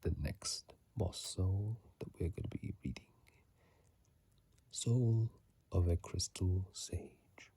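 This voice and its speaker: A person whispering ASMR commentary about a video game in a husky, breathy, halting way, recorded on a phone microphone. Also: sits low, warm tone. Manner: hesitant, halting, repeats words. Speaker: host of an ASMR channel.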